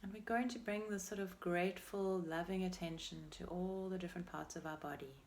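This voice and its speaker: A woman leading a guided meditation as a teacher, speaking in a gentle, unhurried and soothing way with pauses between phrases. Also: on the low side, fairly even intonation, creaky. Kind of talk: guided meditation, body scan.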